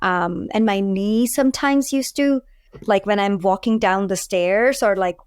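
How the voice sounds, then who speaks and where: A woman's voice: medium pitch, measured pace, a little mellow, clear, resonant tone, dry recording. Indian-accented woman chatting in a dry room. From Delhi, India. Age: 40s. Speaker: podcast guest, food entrepreneur. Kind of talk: casual interview chat.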